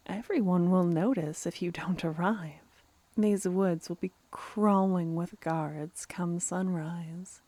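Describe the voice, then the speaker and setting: A woman voicing a playful, clever female vampire in a soft-spoken ASMR fantasy roleplay, teasingly menacing and often near a whisper. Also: mid-range voice, no echo. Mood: fascinated. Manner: unhurried, theatrical, teasing, slipping into whispers.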